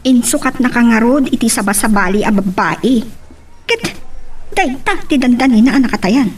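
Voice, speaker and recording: Very high, animated, blunt young friend. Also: female voice, moderate pace, breathy edge. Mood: exasperated. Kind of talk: Ilocano radio drama acting. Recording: music under the dialogue.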